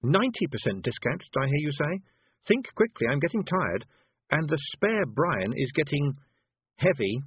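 A man voicing a young witch girl who speaks in a calm, sweetly threatening manner. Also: a little high, moderate pace, a little hiss or hum, pitched above the performer's own voice. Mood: calmly menacing. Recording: tinny phone-like sound.

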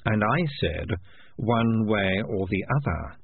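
A man's voice: takes it slowly, gravelly, husky, no echo, phone-quality sound, exaggerated voice. Man doing a smug, menacing, drawling rat piper. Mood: cold threat. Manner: drawling, mocking, low-voiced threats.

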